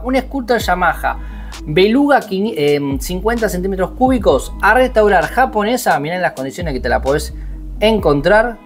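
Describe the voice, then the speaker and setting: A young man with an Argentine accent, talking casually and bluntly as he browses listings from a home desk. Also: high voice. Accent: Argentine Spanish. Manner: chatty, blunt, uses slang. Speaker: motorcycle vlogger. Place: small home office at a desk.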